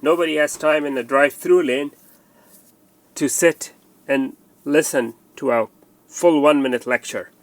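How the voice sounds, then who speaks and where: A man presenting a hands-on installation tutorial, explaining step by step and often repeating himself with fillers. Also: mid-range voice.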